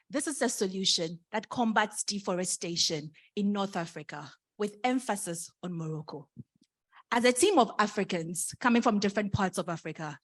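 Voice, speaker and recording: Young woman from ghana walking through her team's pitch, calm and organized, mid pitch. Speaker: student presenter. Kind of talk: team presentation.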